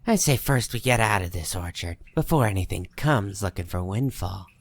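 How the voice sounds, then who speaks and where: A man playing a cynical, hard-bitten dead man who narrates a comic dub in a husky, world-weary, folksy way. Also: fairly low pitch, lively intonation, moderate pace. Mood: sardonic, wary.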